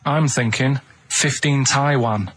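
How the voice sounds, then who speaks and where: Male radio co-host, mid-pitched, rambling and halting casual storyteller. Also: a bit bright, a little hiss or hum. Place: radio studio.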